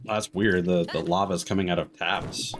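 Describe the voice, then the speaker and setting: A man giving casual live video game commentary, rambling and thinking out loud as he plays. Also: deep voice, fairly even intonation, moderate pace, noisy background. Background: game music.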